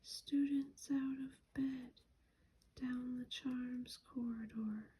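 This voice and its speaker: A woman's voice: high-pitched, fairly even intonation, drawn-out words, dark tone, a little hiss or hum. Peeves, a taunting poltergeist, whispered by a woman. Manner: whispered, teasing. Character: mischievous male poltergeist, taunting and sing-song. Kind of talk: whispered storybook reading.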